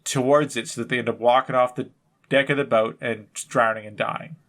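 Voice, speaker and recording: A man's voice: mid-range voice, fairly even intonation, brisk, a bit bright. A man co-hosting a podcast in casual, jokey banter, rambling off on tangents. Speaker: podcast co-host.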